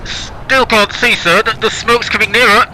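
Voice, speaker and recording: An actor playing a rattled artillery spotter who reports back in halting, hesitant bursts in a radio play. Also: male voice, high voice, fairly even intonation, background noise, close to an everyday voice. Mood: tense.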